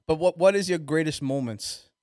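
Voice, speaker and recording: A man, co-hosting a casual podcast in an easygoing way and chiming in with quick agreement. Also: fairly high pitch, darkish tone, no echo, studio quality. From Queens, New York. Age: 30s. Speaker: podcast co-host. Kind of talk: casual chat.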